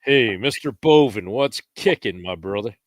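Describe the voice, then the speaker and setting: Low-voiced joking podcast co-host, a man. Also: lively intonation, normal speed, dry recording, clean studio sound. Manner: joking, ribbing. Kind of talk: casual banter.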